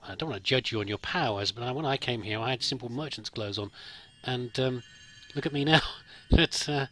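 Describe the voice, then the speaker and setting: Bright-voiced man doing rambling game commentary. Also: mid-range voice, brisk. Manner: hesitant, rambling, polite. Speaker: let's play host. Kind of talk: casual in-character roleplay game commentary. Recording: faint background noise with game ambience.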